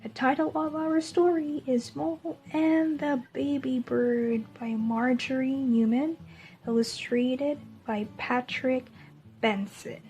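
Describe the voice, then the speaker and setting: A woman reading a children's storybook aloud as a teacher, in a deliberate storytelling manner. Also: high voice, fairly even intonation, warm tone, poor audio.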